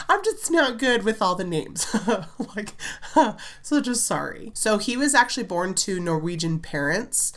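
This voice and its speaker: A woman tells a story to her channel in a loose, chatty way, giggling and leaning on filler words. Her voice sits a little low and carries a slight stuffiness from a fading cold. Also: fairly bright tone. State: slightly congested, recovering from a cold. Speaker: children's book author and channel host. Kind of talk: casual storytelling vlog.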